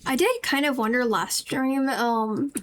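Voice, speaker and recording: A woman's voice: sits high, a little slow. A woman casually commenting on a game as she streams, musing and rambling as she goes. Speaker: streamer playing a story game.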